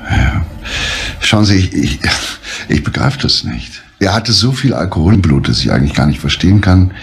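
An actor playing a grave, controlled middle-aged detective under suspicion, speaking in a measured, deliberate way in a crime drama. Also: male voice, sits low, big pitch swings, gravelly, exaggerated voice. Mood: grave, insistent. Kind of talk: restrained crime drama dialogue.

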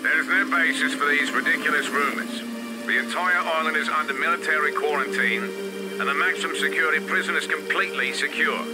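A man's voice: on the high side, lightly acted, poor audio. An actor playing an assertive military spokesman giving a firm, dismissive official statement in a video game.

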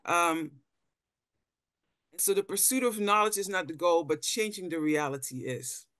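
A woman's voice: fairly low pitch, a little slow, fairly bright tone, dry recording, clean studio sound. A middle-aged woman from the Netherlands, an anthropologist giving a thoughtful talk with slides over a video call, often repeating words as she looks for phrasing. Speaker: panel speaker, anthropologist. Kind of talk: lecture-style talk with slides. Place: indoor room on a video call.

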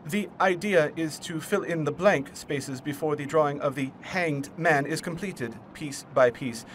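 Man playing nervy puzzle villain, smug, explanatory, highish pitch, faintly noisy recording. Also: measured pace, close to an everyday voice. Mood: explaining, nervy. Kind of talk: comic fan dub acting.

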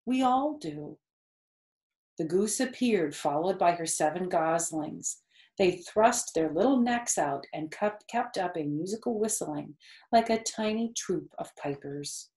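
A woman's voice: on the low side, animated, measured pace, a little mellow. Woman storyteller in an echoey room. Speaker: storyteller reading to children. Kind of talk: read-aloud storybook narration. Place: living room sofa, indoors. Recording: roomy, echoey indoor sound.

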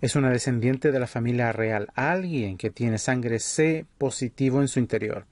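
A man's voice: medium pitch, moderate pace, no room sound, natural voice. Man acting a cold commanding vampire leader, close and dry. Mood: cold, composed. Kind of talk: ASMR audio roleplay acting.

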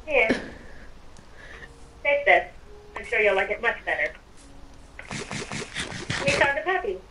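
A woman's voice: fairly high pitch, animated, slow pace, far from the mic, noisy background. A woman chatting casually in character during an online roleplay game. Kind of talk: casual in-character roleplay chat while gaming.

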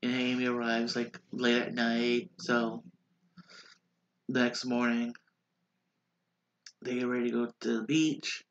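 A man's voice: medium pitch, little pitch movement, slow, creaky, pauses often, muffled recording. Stuffy-nosed man rambling through a recap. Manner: rambling, filler-heavy, restarts a lot. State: stuffy, congested nose. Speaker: vlogger recapping a reality show. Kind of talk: casual recap chat. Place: small bedroom with posters on the wall. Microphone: webcam at desk.

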